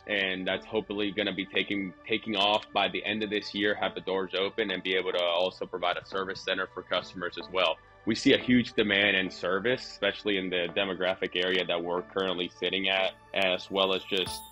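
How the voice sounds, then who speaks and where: A man's voice: deep voice, bright, forceful tone, muffled recording. A man from Venezuela with a Venezuelan Spanish accent, a guest talking casually on a podcast and rambling with lots of fillers and restarts. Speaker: guest, truck dealership owner.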